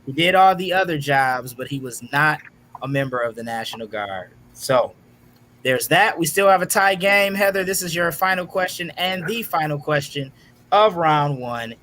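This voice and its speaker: Higher-voiced male game show host, some noise. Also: animated, normal speed, bright, forceful tone. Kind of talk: hosting a casual online trivia game show.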